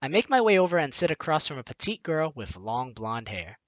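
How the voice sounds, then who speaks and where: A man's voice: on the high side, big pitch swings, fairly quick, dry recording, highs cut off. A man reading a visual novel aloud as a let's play host, expressively acting out reactions and adding playful asides. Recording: music under the voice. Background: game music.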